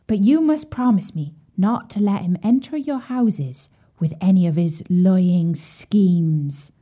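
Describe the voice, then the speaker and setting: A woman's voice: on the low side, slow, warm tone. Woman voicing a loving old mother pig. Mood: earnest warning.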